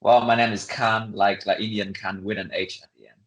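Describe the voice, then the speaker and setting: Echoey room, young vietnamese guy w accent, lowish voice, chatty interview answers, lots of ums and likes. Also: level tone. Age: young adult. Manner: halting, conversational, many fillers and hedges. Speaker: interview guest, international student.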